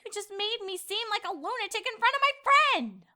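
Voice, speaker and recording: A young female cartoon pony, with a very high, bright voice. She is inquisitive and easily exasperated, swooping through her emphatic questions. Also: expressive, a little fast, full character voice. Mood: indignant. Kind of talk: cartoon fan fiction dramatization.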